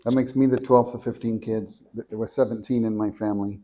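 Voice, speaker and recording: A soft-spoken man reading a prepared talk into a handheld microphone at a meeting, measured and deliberate, with small restarts. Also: lowish voice, steady tone. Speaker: speaker at a meeting. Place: meeting room with an audience.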